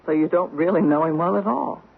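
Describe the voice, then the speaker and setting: An actress playing a suspicious, quick-witted wife in a radio mystery, wry and full of questions. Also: female voice, on the low side, vocal fry, slightly rough. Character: suspicious, quick-witted wife who trusts her hunches. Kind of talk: radio mystery drama acting. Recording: dull old radio recording, faint noise.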